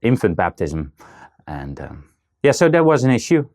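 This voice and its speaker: A man with a Dutch accent talks in a very deep, gravelly voice. He pauses often on ums and starts his sentences over as he searches for words. He sounds like a guest in a casual interview. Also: very animated, a little mellow. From Netherlands. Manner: hesitant, many fillers and restarts.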